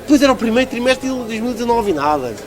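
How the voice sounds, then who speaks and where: A man's voice: extremely high pitch, animated, very fast, a crowd in the background. A man with a European Portuguese accent giving a conversational political interview as a local politician, arguing emphatically and repeating phrases for stress.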